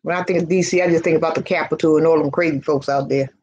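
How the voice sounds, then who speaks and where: A woman's voice: low voice, fairly even intonation, rapid-fire, a little mellow, husky. Easygoing woman with a slight twang. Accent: slight Southern twang. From born in Virginia, raised in New York City. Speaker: co-host. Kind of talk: casual live-stream chat. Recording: dull lo-fi audio, faint noise.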